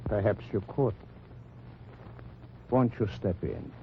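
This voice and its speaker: A man's voice: lowish voice, slow pace, gravelly. An actor with a German accent playing a wary, clipped German resistance man in a 1940s radio drama. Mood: cautious. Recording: lo-fi old radio transcription with hiss.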